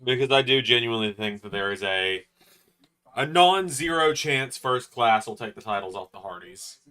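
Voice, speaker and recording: A man's voice: medium pitch, lively intonation, moderate pace, bright, forceful tone. A man hosting a live reaction stream, chatting casually and thinking aloud with fillers. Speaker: stream host.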